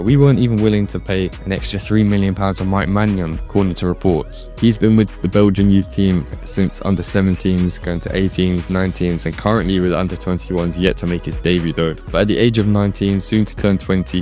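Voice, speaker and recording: A man's voice: low-pitched, warm tone. A man hosting a football channel, talking through a countdown of young players in a conversational, opinionated way with occasional self-corrections. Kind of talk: countdown commentary on youth players. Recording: faint background music.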